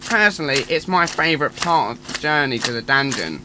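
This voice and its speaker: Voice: mid-range voice, lively intonation, brisk, noisy background, close to an everyday voice. An actor playing a chatty, submissive underling duck guard in a radio drama.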